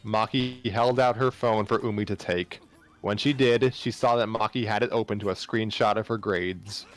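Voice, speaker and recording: A man with a low voice narrates a story in an informal, amateur read-aloud. He is prone to stumbling and repeating phrases, and music plays underneath. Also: steady tone, normal speed.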